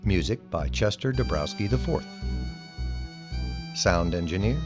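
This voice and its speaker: A man's voice: low voice, animated, a little slow, dull audio, music in the background. A man reading scripted credits as the announcer of an audio drama.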